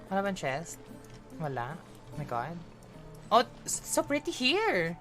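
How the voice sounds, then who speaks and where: A woman's voice: on the low side, wildly swooping pitch, measured pace. A woman with a Filipino accent giving casual, playful live commentary as she streams a game, reacting as she goes. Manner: playful, reactive, switches between English and Tagalog. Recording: loud game music behind. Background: game music.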